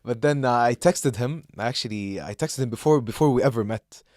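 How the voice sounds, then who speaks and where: A young man from Saudi Arabia with a medium-pitched voice chats as a podcast co-host. He tells personal stories casually, rambling and hesitating as he goes. The sound is dry, with hardly any room on it. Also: brisk, darkish tone, dry recording. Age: young adult. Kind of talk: casual chat, telling personal anecdotes.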